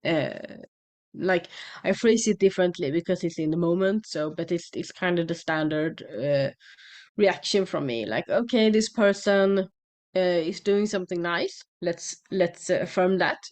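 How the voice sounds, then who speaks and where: A woman from Sweden with a Swedish accent, talking casually to her channel's viewers and often pausing with fillers and restarts. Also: on the low side, darkish tone. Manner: hesitant, many fillers and restarts. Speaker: channel host. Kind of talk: casual monologue to camera.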